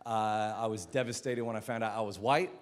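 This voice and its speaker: Energetic American-accented preacher in a hall. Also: male voice, fairly low pitch, fairly quick. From Philippines. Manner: energetic, stumbles and repeats words. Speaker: guest pastor. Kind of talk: lively sermon with jokes.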